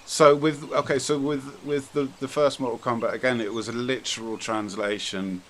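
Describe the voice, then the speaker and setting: A man's voice: medium pitch, little pitch movement, normal speed. A British man hosting a podcast, chatting casually about films in an informal, blunt way. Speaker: podcast host. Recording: noticeable background noise.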